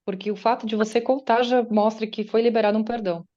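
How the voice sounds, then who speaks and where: Mellow-voiced woman on a call. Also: a little high, fairly even intonation, quick pace, lo-fi sound. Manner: conversational, acts out listeners' doubts in quotes. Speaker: guest speaker and writer. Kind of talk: faith-based motivational talk. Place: online meeting. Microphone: video call.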